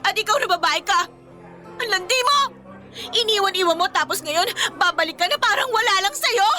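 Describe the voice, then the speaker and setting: A jealous young girlfriend in a Filipino radio drama, fiery and wounded. Her voice is extremely high and very bright, confronting and accusing in emotional bursts. Faint music plays underneath. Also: expressive, moderate pace, full character voice. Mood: furious, hurt.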